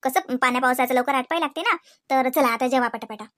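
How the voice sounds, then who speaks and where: A woman voicing a daughter who calmly reassures her mother and calls everyone to eat. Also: high-pitched, fast. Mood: reassuring.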